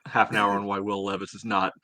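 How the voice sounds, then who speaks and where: A man's voice: on the low side, little pitch movement, fast talker, bright, forceful tone. A man bantering casually as a podcast panelist, blunt and mock-indignant.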